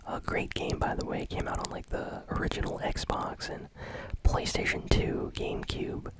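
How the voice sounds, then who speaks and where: A man whispering gaming news in a relaxed ASMR style, chatty and full of fillers. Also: moderate pace. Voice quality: unvoiced whisper. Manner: casual whisper with many fillers.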